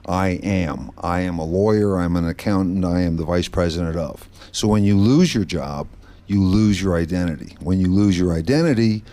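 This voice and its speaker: A middle-aged man from the Netherlands, a guest on a radio talk show, explaining his nonprofit work in a steady, explanatory way. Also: low voice. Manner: steady, explanatory, occasional restarts. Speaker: guest, branch manager of a nonprofit. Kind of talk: radio interview answers. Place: studio set in a mall.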